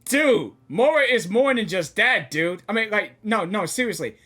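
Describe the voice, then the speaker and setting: A woman doing excited live game reaction commentary, exclamatory, slangy and repetitive. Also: mid-range voice, moderate pace, faint music behind.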